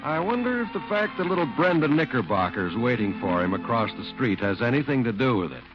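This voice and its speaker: A man's voice: medium pitch, very animated, fairly quick. A man announcing on 1940s radio with a polished, emphatic, scripted sales delivery. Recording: muffled old radio broadcast recording. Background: prominent music.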